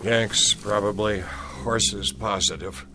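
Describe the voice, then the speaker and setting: An actor playing a stern, resolute Confederate cavalry soldier in a radio drama, with a folksy, commanding Southern US accent. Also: male voice, lowish voice, steady tone, slow pace, background noise. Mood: wary, certain.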